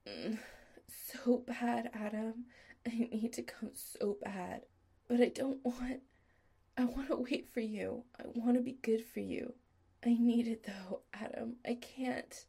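A woman's voice: a little high, fairly even intonation, slow pace, gravelly, mellow, slightly rough, pitched above the performer's own voice, heavily acted. A woman voicing a needy young man who pleads in a breathless, whispery voice. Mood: needy, pleading.

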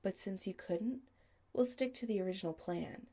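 A woman voicing a dominant, calm man who gives low, quiet, measured orders. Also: breathy edge. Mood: calm, commanding.

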